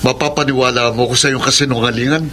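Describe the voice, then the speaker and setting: A man's voice: mid-range voice, steady tone, quick pace, close to an everyday voice. An actor playing a troubled, earnest young male lover in a Filipino radio drama, with melodramatic delivery. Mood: solemn, reflective. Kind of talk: Filipino radio drama acting and letter narration. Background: dramatic background music.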